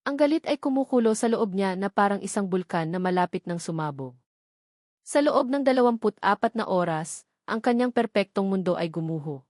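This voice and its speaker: A Filipino-accented woman reads fiction as a storyteller. Her delivery is scripted and dramatic, at a middling pitch, and the recording is dry and close. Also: darkish tone, dry recording. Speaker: story narrator.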